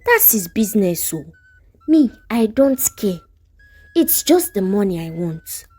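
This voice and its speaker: A woman's voice: mid-range voice, big pitch swings, unhurried, warm tone, slightly rough. A woman storyteller with a Nigerian accent voicing a greedy, cocky, persuasive young woman scammer. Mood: greedy scheming.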